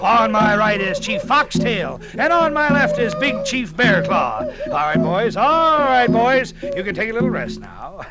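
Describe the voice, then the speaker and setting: An actor playing a slick medicine show huckster, calling out theatrical sales patter in 1950s radio drama style. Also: male voice, high-pitched, very animated, unhurried. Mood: rousing, showy. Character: slick fast-talking medicine show huckster peddling miracle cures. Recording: vintage 1950s radio recording, faint music.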